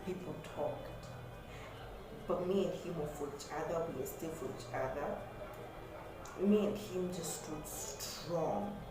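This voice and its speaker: A woman's voice: sits low, measured pace, long pauses, very echoey, over music, rough recording. A woman giving relationship advice in a half-whispered, repetitive, earnest way, recorded on a phone at her workplace by a road. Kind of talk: casual advice vlog. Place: workplace by a road, natural light.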